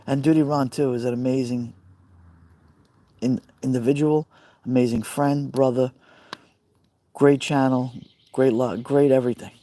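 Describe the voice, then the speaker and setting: A middle-aged man with a Brooklyn accent talks to his viewers on a phone live stream from beside an outdoor fire pit. His voice is mellow and warm, mid-pitched, and he rambles from one comment to the next. He calls out his viewers by name as he goes. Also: fairly even intonation, measured pace, pauses often. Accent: Brooklyn New York. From Brooklyn, New York.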